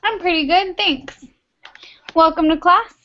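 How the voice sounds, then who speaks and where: Friendly woman teacher with a high voice, coaxing students along on a dull video-call line. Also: unhurried, pushed and bright. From Texas, United States. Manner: friendly, patient, encouraging. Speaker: English teacher. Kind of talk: online class small talk. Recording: muffled video-call audio.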